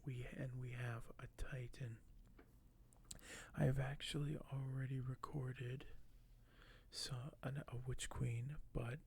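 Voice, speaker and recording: Guy doing asmr, real slow and mellow, keeps slipping into a whisper, kinda hesitant and apologetic. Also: mid-range voice, flat delivery. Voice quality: breathy, often dropping to a whisper. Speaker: ASMR video host. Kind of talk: ASMR unboxing, soft-spoken.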